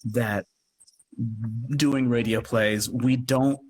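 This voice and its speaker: A man's voice: mid-range voice, steady tone, extremely slow. A man talking casually as an interview guest, earnest and effusive, often circling back over his phrases on a live call. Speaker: guest, radio play producer. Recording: noisy livestream call.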